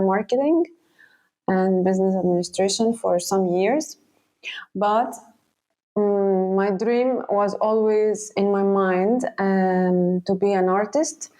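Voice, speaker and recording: A woman from Egypt, a painter answering interview questions in a halting way, with many fillers and restarts. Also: medium pitch, dark tone. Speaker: guest painter.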